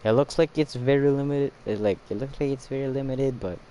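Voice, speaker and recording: A man's voice: medium pitch, brisk, warm tone, a little hiss or hum. A young man giving casual gameplay commentary on a stream, rambling hesitantly and often trailing off. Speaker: game live streamer.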